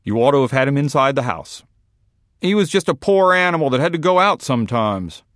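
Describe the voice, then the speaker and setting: A man's voice: medium pitch, animated, fairly quick, a bit bright, no room sound, clean studio sound, pitched above the performer's own voice, natural voice. A man reading as a grieving plain-spoken townsman. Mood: aggrieved. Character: plain-spoken grieving small-town man whose dog was shot. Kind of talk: literary reading.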